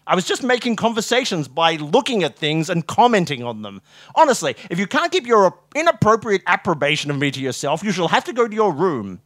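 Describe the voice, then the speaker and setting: Storybook mouse sleuth, male performer: brisk, exasperated, matter-of-fact, with a fairly high, bright voice. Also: very animated, no echo, clean studio sound. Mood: exasperated, courteous. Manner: matter-of-fact, clipped, commanding.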